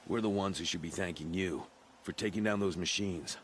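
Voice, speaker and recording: Fort guard, deep voice, courteous and earnest, game dialogue, faint insect ambience. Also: male voice, fairly quick, a bit bright, slight background noise. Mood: helpful, grateful. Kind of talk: video game voice acting.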